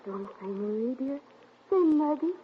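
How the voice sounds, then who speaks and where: Tender radio wife, thin vintage sound. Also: female voice, sits high, lively intonation, measured pace, narrow, tinny audio. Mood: dreamily happy. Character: tender, dreamy, devoted young wife. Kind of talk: 1940s radio suspense acting. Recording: thin, noisy 1940s radio recording.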